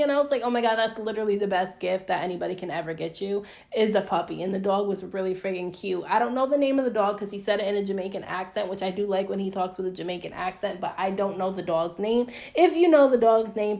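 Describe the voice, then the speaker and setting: A woman's voice: medium pitch, gravelly, darkish tone. Chatty young American woman recapping TV. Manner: chatty and opinionated, with slangy mild swearing. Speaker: YouTube reviewer recapping reality TV. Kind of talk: casual, gossipy recap commentary.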